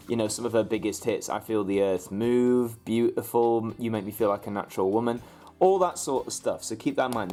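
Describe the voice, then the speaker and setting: A man's voice: medium pitch, darkish tone. A British man presenting a casual vlog guide to camera in a chatty, informal, demonstrative way.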